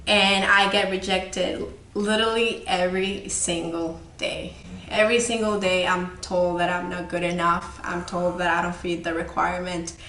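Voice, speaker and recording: A woman's voice: on the low side, flat delivery, moderate pace, a bit bright, echoey room, a little hiss or hum. A woman in her twenties from El Salvador, speaking candidly and earnestly to the audience about her own experiences, with many fillers. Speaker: model sharing her story. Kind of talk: personal talk to camera.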